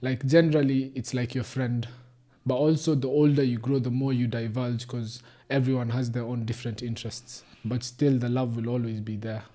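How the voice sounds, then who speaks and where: A man's voice: mid-range voice, level tone, normal speed, warm tone. A young Kenyan man with a Kenyan English accent, a doctor talking casually as a podcast guest, explaining things patiently and slipping into Swahili phrases. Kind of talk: casual conversation.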